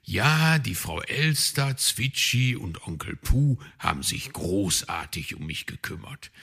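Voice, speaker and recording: A man's voice: fairly low pitch, expressive, slow, gravelly, sharp tone, slightly rough, full character voice. A man voicing a kind, fatherly old male fox with a hearty manner.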